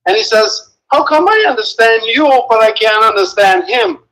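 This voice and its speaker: A man's voice: high-pitched, sing-song, creaky, sharp tone. A man from Saint Vincent with a faint, mostly lost Vincentian accent, a guest joking and telling stories over a phone call. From Saint Vincent and the Grenadines. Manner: chatty, joking, halting restarts. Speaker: guest caller. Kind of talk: casual storytelling on a call.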